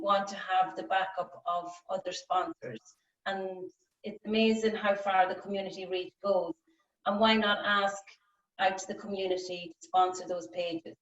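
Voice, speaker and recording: A woman facilitating an online workshop, chatty and rambling with many ums and likes. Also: medium pitch. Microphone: video call. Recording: distant, roomy, lo-fi call audio.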